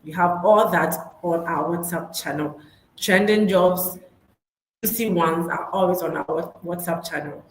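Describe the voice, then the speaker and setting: A woman's voice: on the low side, a little slow. A woman hosting a live online webinar, upbeat, repeating her key points and laughing easily. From Ghana. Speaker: webinar host. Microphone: online call mic. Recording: echoey room, faint noise.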